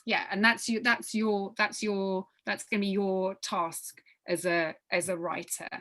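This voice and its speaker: Bright-voiced woman giving an emphatic informal briefing. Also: medium pitch, level tone, unhurried. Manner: emphatic, repeating words and phrases as she thinks aloud. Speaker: workshop leader briefing young writers. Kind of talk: informal spoken briefing with question and answer.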